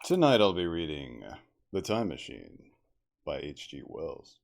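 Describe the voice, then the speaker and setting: A man reading a classic novel aloud in an ASMR style, with a measured, theatrical storytelling delivery. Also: a bass voice, sing-song, creaky.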